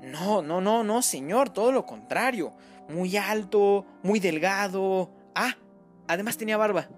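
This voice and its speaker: A man's voice: high voice, measured pace, faint music behind, voice pushed up. A reader voicing a distraught middle-aged mother who blames herself, pleading and near tears. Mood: insistent. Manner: tearful, pleading, breathless outbursts.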